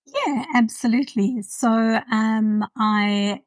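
A woman in her fifties with a South African accent, a podcast guest talking thoughtfully with many pauses. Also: a little high, no echo, recorded from a distance. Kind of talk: casual interview.

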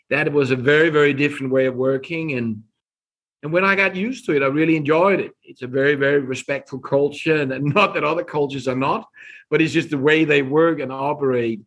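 A man in his fifties from Denmark with a Danish accent, speaking as a podcast guest in a measured way that is full of restarts and repeated words. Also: mid-range voice, a bit bright. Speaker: guest, company executive. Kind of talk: conversational podcast interview.

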